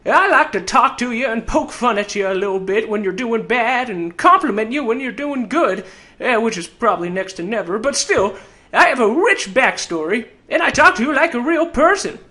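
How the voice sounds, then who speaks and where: A tiny old fantasy game adventurer with a very high, odd, piercing voice. He swoops around in pitch, sarcastic and cocky, teasing the listener with folksy grammar as he pitches himself as an announcer. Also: animated, normal speed, bright, forceful tone, exaggerated voice. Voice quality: weird, high-pitched character voice. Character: tiny old sarcastic male keen-folk treasure hunter.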